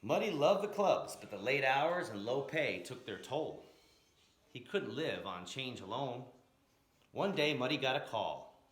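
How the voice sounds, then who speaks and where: A man reading a story aloud in an expressive, dramatic narrating style with emphatic, rhythmic phrasing. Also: mid-range voice, unhurried. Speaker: storyteller reading a picture book aloud, blues educator. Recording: roomy, echoing room sound.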